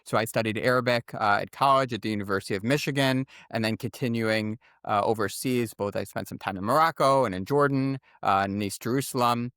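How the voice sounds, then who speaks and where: A man's voice: mid-range voice, a little fast, hardly any pauses, a bit bright, clean tone, dry recording, studio quality. A man with an American accent, an author being interviewed, giving articulate and orderly explanatory answers. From United States. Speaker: guest author and former journalist.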